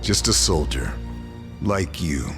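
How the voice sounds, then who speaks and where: A man playing a stoic, determined lone-wolf sniper in a war video game, speaking in a measured, terse and grim way. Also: very deep voice, expressive, over music, full character voice. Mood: firm, defiant. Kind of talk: video game cutscene acting and briefing narration.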